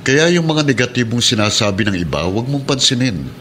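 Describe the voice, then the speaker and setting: A man plays a middle-aged Filipino policeman with a bright, forceful voice of medium pitch. He is earnest and protective, a kind adoptive father in a radio drama. There is faint noise behind him. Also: expressive, brisk, lightly acted. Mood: earnest, encouraging. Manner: earnest, encouraging, heated when challenged.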